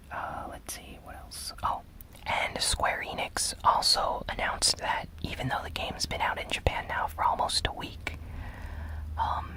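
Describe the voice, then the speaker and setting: An ASMR host giving a gaming news recap in a breathy, unvoiced whisper with soft, deliberate pauses. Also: rough recording.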